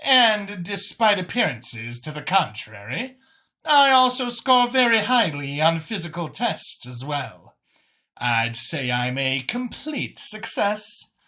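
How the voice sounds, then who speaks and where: A self-assured alien chairwoman, acted out by a man, speaks slowly with a wide, swooping melody. She is articulate and gracious, with a bright edge and a pleased, cerebral air fit for an audiobook. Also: high voice, voice pushed up, exaggerated voice. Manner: measured, articulate, self-satisfied. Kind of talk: audiobook character acting.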